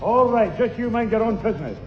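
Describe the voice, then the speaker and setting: High-voiced grumpy Northern English grandad. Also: male voice, expressive, fairly quick, in a large hall. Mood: exasperated. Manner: scolding, blustering. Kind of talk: 1960s British radio sitcom acting. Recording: dull 1960s radio recording with music.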